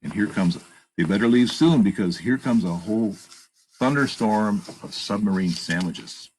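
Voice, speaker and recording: A man's voice: on the low side, moderate pace, a little mellow, slight background noise, rough recording. A man reading a children's picture book aloud, expressively, with plain asides about the pictures. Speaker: storytime reader and narrator.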